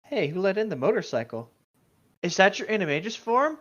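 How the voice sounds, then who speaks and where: A man's voice: high voice, very animated, a little fast, a bit bright, dull audio. A man reading aloud casually and breaking off for sardonic, sweary asides. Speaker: co-host of a fan fiction reading show.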